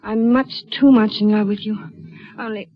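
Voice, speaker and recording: A woman's voice: medium pitch, fairly even intonation, normal speed, close to an everyday voice. A woman playing a sweetly coaxing, affectionate young fiancée in a 1940s radio drama. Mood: affectionate, uneasy. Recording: muffled old radio recording.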